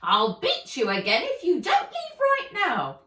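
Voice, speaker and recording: A woman voicing a tiny, greedy, feisty female elf bookseller with a squeaky, screechy voice in a children's storybook. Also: wildly swooping pitch, a little slow, heavily acted. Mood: angry, scolding. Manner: pushy, sharp-tongued haggling. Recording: roomy echo, distant microphone.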